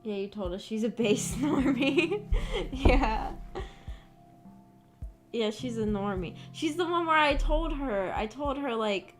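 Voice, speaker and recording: Brief: young woman streamer, slightly high voice, rough just-woke-up throat, rambling chat, faint music behind. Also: expressive, takes it slowly. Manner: rambling, chatty, lots of fillers. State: rough, hoarse-sounding voice from just waking up.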